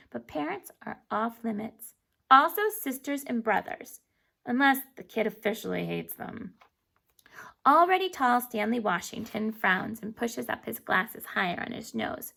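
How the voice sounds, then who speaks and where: A woman reading a children's chapter book aloud in an animated, emphatic way, stumbling over words now and then. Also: on the high side, normal speed, gravelly. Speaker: storybook reader.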